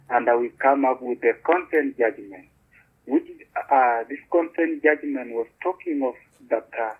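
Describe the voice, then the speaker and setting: A man with a Ugandan accent, earnest, restarting and repeating words as he explains things in an interview. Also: mid-range voice. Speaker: community beekeeper guest. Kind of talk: interview over a call. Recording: husky tinny phone-line audio.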